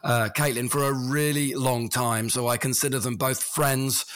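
Hoarse man hosting a podcast. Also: mid-range voice, fairly even intonation, normal speed, over music. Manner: playful, sings the intro. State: hoarse from a cold. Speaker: podcast co-host. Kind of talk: casual conversational podcast.

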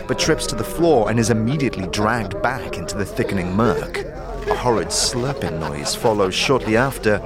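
A man narrating a fantasy audio drama in a measured, dramatic storytelling manner. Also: on the low side. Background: background music.

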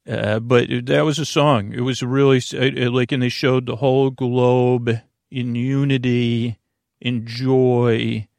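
A middle-aged American man speaks in a creaky, gravelly voice at an ordinary male pitch. He meanders through a bedtime podcast monologue, halting, repeating words and drifting off mid-thought. The sound is dry, with no room echo. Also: flat delivery, a little mellow. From United States. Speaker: podcast host.

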